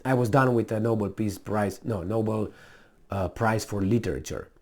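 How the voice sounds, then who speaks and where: A man's voice: fairly low pitch, normal speed, darkish tone. A man with a Romanian accent gives casual, unscripted political commentary in a blunt, emphatic, rambling way full of asides and repetition.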